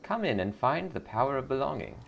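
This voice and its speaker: A man puts on a blissful game-character cult greeter, eager to recruit. The delivery is sweetly pious and salesy, swinging high in lilting arcs, with faint noise behind it. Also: big pitch swings, fairly quick, creaky, muffled recording. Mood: serene, eager.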